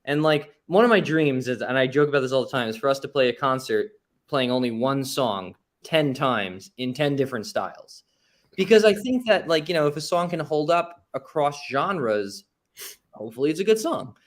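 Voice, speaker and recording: A man's voice: medium pitch, expressive, normal speed. A man speaking as an interview guest and musician, chatting casually in an informal, self-deprecating way. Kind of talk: casual conversational storytelling.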